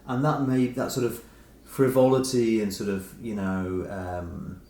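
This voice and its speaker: A man's voice: lowish voice, level tone, unhurried, warm tone. A middle-aged man, an author being interviewed, talking reflectively and tentatively as he rephrases his thoughts. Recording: roomy echo with clear background noise.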